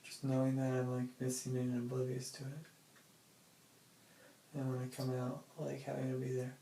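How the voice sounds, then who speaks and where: A young adult man recording a casual, rambling audio diary, musing in a halting, hesitant way. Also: mid-range voice, monotone, creaky, dark tone. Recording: very echoey room, faint noise.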